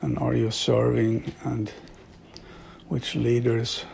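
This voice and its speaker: A middle-aged man from Sweden, an author and devotee, talking reflectively in a slow, halting way with frequent soft laughs. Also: medium pitch, monotone, gravelly, a little hiss or hum. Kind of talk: reflective monologue.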